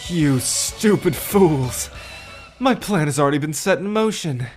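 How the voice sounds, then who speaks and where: A man's voice: fairly high pitch, expressive, measured pace, soft background music. A man playing a scheming, smarmy and cowardly villain broker in a dramatic audio drama. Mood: gloating, defiant.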